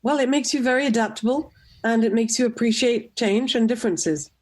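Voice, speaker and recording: A middle-aged woman from Tunisia, a nonprofit director, giving measured, composed answers in a radio interview. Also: fairly high pitch. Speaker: guest, nonprofit executive director.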